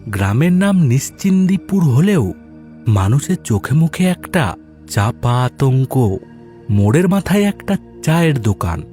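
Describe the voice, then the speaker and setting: A man's voice: fairly high pitch, big pitch swings, normal speed, warm tone. Male story narrator over music.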